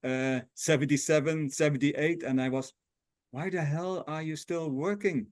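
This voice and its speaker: A man in his sixties, a lifestyle coach and interview guest, speaking earnestly over a video call in halting phrasing with frequent restarts. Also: on the high side, steady tone, darkish tone, no room sound, clean studio sound. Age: 60s. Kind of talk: casual interview.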